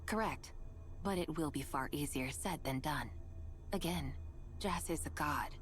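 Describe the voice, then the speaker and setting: A woman voicing a calm, formal and solemn otherworldly figure in a video game. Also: deep voice, unhurried. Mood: composed. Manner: formal, composed. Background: game music.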